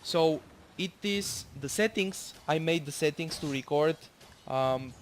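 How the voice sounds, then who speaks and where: Young bulgarian guy rambling over his game replay, swears a lot, kinda high voice, slight hiss. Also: unhurried. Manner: rambling, swears casually, self-correcting. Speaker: gamer commenting on his own match. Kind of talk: casual commentary over gameplay.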